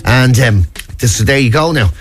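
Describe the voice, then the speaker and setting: A man's voice: medium pitch, moderate pace, soft background music. An Irish man co-hosting morning radio, joking wryly with mock indignation. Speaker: radio co-host. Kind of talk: casual morning radio banter. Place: radio studio.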